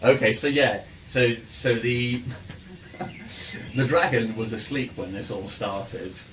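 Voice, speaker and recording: A man acting as game master, improvising tabletop narration in a halting, hesitant way, recorded in a noisy room. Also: mid-range voice, reverberant. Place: in-person game room with ambient sound. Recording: noisy room, distant microphone.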